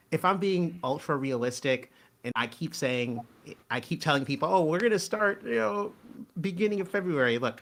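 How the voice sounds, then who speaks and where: A man's voice: mid-range voice, sharp tone. A man chatting casually and earnestly about his own creative work, in a wordy way. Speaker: show creator and guest. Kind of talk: casual chat.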